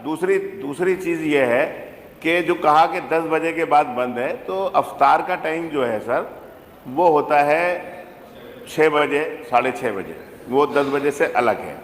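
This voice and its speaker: Echoey high-pitched male legislator. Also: measured pace. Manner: deferential, often addressing the chair as sir. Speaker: opposition legislator making a request in a state assembly. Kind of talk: impromptu parliamentary debate speech. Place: large legislative chamber. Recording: echoey assembly hall, faint noise.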